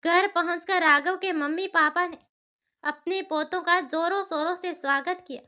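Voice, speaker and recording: A woman narrates a cartoon story in a very high-pitched voice. Her tone is bright and forward, and she reads as a scripted storyteller. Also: steady tone, normal speed. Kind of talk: scripted cartoon story narration in a Hindi moral-tale format.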